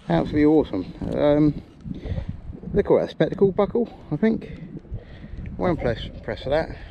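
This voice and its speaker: Casting: middle-aged male, informal and matey, off-the-cuff outdoor vlog commentary, clearly noisy action-camera sound. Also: fairly high pitch, lo-fi sound. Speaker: metal detecting vlogger. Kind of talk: casual vlog chat and commentary. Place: open field outdoors.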